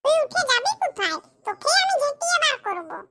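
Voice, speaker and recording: A performer voicing a fed-up, sarcastic wife scolding her husband in a comedy cartoon, with a rural West Bengal accent and a pitch-shifted voice. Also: very animated, fast, a little hiss or hum, exaggerated voice. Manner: sharp, sarcastic, colloquial scolding. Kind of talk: comedy cartoon skit dialogue.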